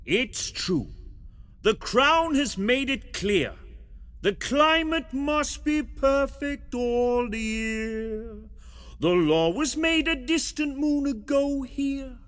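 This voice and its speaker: A man's voice: extremely high pitch, animated, slow pace, fairly bright tone, exaggerated voice. An actor playing a grand, theatrical male stage star in a radio drama, declaiming in a lyrical, musical way. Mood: grandly whimsical. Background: faint music.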